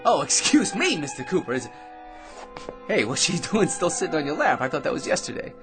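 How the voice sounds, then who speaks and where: A man's voice: fairly high pitch, sing-song, fairly quick, music in the background. An actor playing a hapless ordinary man in a radio drama, cocky or frantic, stammering and chattering nervously. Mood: flustered, nervous.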